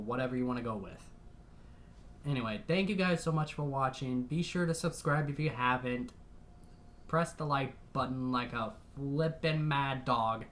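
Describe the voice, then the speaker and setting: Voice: mid-range voice, fairly bright tone, slight background noise. A reaction video host giving casual commentary in an exclamatory, emotional way with mild substitute swearing.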